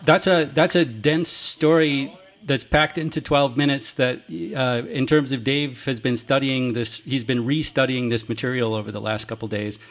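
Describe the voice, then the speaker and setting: A man hosting an outdoor festival screening, talking in a loud, upbeat way and laughing as he thanks the performers. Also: medium pitch, steady tone, moderate pace. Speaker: festival host. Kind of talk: live stage introduction.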